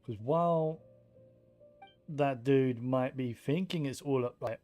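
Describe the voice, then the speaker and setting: A man's voice: medium pitch, slow, darkish tone, soft background music. A man giving casual gameplay commentary as a streamer, rambling and thinking aloud in an understated way. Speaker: game streamer.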